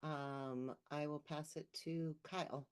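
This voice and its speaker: A soft-spoken woman committee member introduces herself and passes the turn on at an online meeting. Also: sits low, steady tone, takes it slowly, clean tone.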